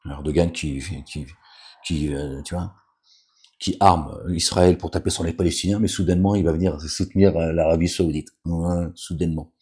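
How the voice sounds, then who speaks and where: A man with an extremely low, deep voice preaches in an offhand, rambling way. He keeps breaking off with fillers and asides to the people listening. Between readings he admonishes them with stern warnings. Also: steady tone, moderate pace, darkish tone. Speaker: religious commentator reading scripture. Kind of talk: informal spoken sermon with scripture reading.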